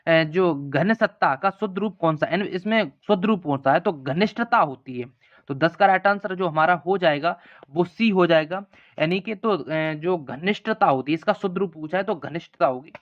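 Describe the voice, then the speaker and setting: A man with a somewhat high-pitched voice teaches a test-preparation class. He reads out multiple-choice questions and their options in an instructional manner, then repeats the correct answer. The recording sounds a little dull and lo-fi. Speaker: exam-prep teacher. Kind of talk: lesson walking through multiple-choice practice questions.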